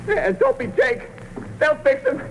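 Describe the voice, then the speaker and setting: An actor playing a cocky, defiant young prisoner in an old radio drama, exclaiming with agitated bravado. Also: male voice, extremely high pitch, wildly swooping pitch, unhurried, creaky, slight background noise, full character voice, poor audio. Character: cocky, defiant young male prisoner facing his end. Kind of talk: 1940s radio drama acting.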